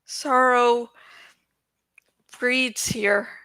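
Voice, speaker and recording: A woman voicing a grave, protective female dragon in a fantasy story, speaking in a solemn, measured, formal way. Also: a little high, steady tone, sharp tone, clean tone, no room sound, voice pushed down, full character voice, rough recording. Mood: somber.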